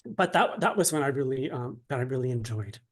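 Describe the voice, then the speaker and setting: A man speaking as an interview guest in a casual, polite, mild and somewhat hesitant, measured way. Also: mid-range voice, animated.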